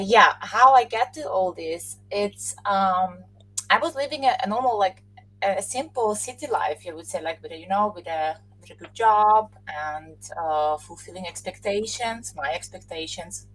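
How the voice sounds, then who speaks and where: A woman from Austria with a slightly husky voice talks at a middle pitch. She answers questions in a loose, chatty way. She laughs now and then and often circles back to restart a thought. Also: lively intonation, normal speed, fairly bright tone, low-quality recording. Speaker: podcast guest. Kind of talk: casual interview chat.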